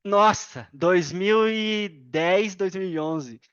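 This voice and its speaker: High-voiced Brazilian man telling his story. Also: takes it slowly, clear, resonant tone, no echo, lo-fi sound. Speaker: podcast guest. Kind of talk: casual interview storytelling.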